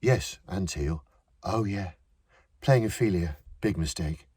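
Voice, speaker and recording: A man voicing a nervous young man who sounds overly bright and a little awkward. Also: low-pitched, slow pace, husky, no echo, studio quality, heavily acted.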